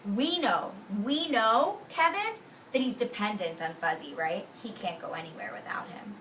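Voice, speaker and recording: Female preschool teacher, bright and very lively delivery, read-aloud with simple explanations, recorded in a classroom. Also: medium pitch, moderate pace. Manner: expressive, instructive, explains words simply and directs the children. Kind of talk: animated read-aloud to a class of young children. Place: classroom with young children. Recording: roomy, distant microphone, faint noise.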